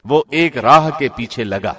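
A man reading a scripture translation in a formal, measured radio presenter's delivery. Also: mid-range voice, expressive, a bit bright. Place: studio.

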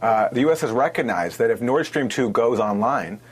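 A man giving spoken commentary as an interview guest, with a husky voice. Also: mid-range voice, animated, moderate pace.

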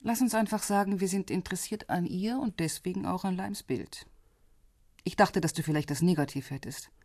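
An actress playing a cool, insistent police intelligence investigator in a radio crime drama, husky, controlled and businesslike. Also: female voice, lowish voice, moderate pace, a little mellow, no echo, clean studio sound. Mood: probing. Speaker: supporting actor.

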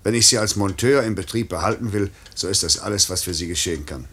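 A husky, stern company director. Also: male voice, sits low, quick pace, fairly bright tone. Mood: cold, firm. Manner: clipped, commanding, unyielding. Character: stern, iron-willed male airline director. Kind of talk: 1950s German radio play acting.

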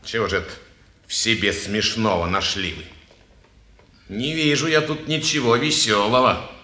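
A man's voice: on the low side, moderate pace, bright, forceful tone. An actor playing a vain, sneering, suspicious man with an affected, disdainful manner in a 1950s Russian stage play. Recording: roomy old stage-play recording.

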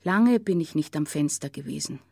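Precise formal parsonage woman giving testimony, low voice, radio play, no room sound. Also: lively intonation, creaky, darkish tone, slightly rough, no room sound, studio quality, full character voice. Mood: composed, exact. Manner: formal, precise, measured wording. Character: precise female parsonage resident giving witness statement. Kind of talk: naturalistic German radio play monologue.

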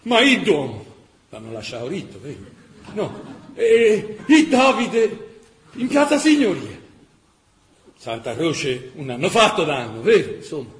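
Tuscan guy telling war stories on radio theatre, emphatic, bit high pitched, echoey room. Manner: colloquial, emphatic. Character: male Tuscan storyteller recounting wartime partisan memories, heartfelt. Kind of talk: dramatic radio theatre storytelling. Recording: roomy stage-like echo.

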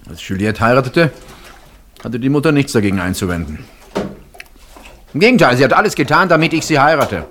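An actor playing an outspoken, probing brother-in-law in a German radio play, speaking assertively and expressively. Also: male voice, medium pitch, big pitch swings, a little fast, long pauses, fairly bright tone, a little hiss or hum. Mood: insistent, reproachful. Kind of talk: German radio play acting, naturalistic dialogue.

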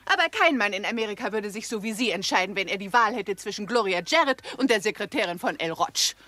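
A woman's voice: mid-range voice, lively intonation, quick pace, sharp tone, no echo. A woman playing a seductive, lonely Hollywood actress in a 1960s German radio crime drama, coquettish and insistent. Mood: wounded, insistent. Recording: 1960s radio play with background noise.

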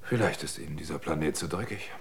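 A deep-voiced male survivor in a radio drama. He is sober and wary, with a creaky, gravelly edge, and speaks in a measured, brooding manner as if keeping a lonely log. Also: noisy background. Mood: resigned, sober. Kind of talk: German radio drama monologue and dialogue.

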